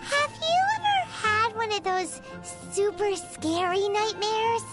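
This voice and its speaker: A tiny, gluttonous fairy-like girl companion from a video game. The voice is extremely high and squeaky, with an animated melody and an unhurried pace. It is childlike and exclamatory. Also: heavily acted. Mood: curious. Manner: speaks of herself in third person, exclamatory. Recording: music behind the voice.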